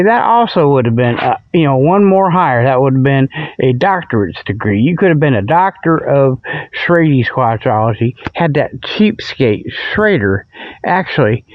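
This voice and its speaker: A man's voice: fairly high pitch, dry recording. A man hosting a comic radio report, rambling through mock sponsor plugs in a folksy, ungrammatical, digressive way. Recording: dull lo-fi, dry close sound.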